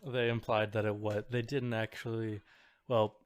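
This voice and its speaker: A woman co-hosting a podcast, chatting casually in a loose, halting, conversational way. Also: rumbling low, level tone, a little mellow, slight background noise. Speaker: podcast co-host. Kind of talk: casual chat.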